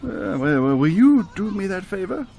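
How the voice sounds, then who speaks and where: A man's voice: high-pitched, sing-song, moderate pace, vocal fry, dark tone, heavily acted. A man playing a reformed old miser, giddy and generous, in theatrical radio drama style with stammers and chuckles. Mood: grateful, merry.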